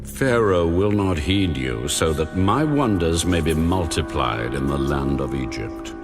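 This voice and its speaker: An actor playing a majestic, authoritative God in a dramatised Bible reading, proclaiming solemn commands. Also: male voice, very deep voice, lively intonation, unhurried, over music, heavily acted. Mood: grave. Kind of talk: dramatised audio Bible acting.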